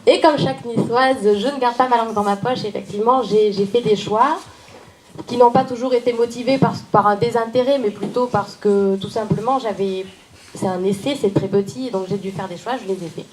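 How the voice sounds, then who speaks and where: A woman's voice: on the high side, fairly quick, crowd noise, poor audio. A woman from Nice, an author presenting her book and thanking people in a frank, slightly hesitant speech. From Nice, France. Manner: frank, a little hesitant, stumbling over names.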